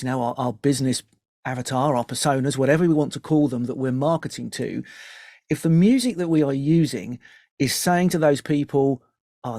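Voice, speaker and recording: A man's voice: medium pitch, dark tone, no room sound, clean studio sound. A man giving earnest, explanatory interview answers as a music business founder, often voicing imagined customers to make his points.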